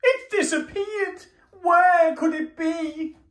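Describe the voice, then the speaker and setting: A woman voicing an anxious little male robot in a storybook, high and wailing. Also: slow pace, echoey room. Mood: worried.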